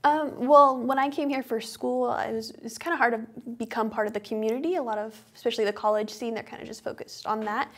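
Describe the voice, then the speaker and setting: A woman in her twenties from California's Central Valley, speaking as a guest in a TV studio interview, quick and upbeat with some fillers. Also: on the high side, fairly even intonation. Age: 20s. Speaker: club vice president and guest. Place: small TV studio.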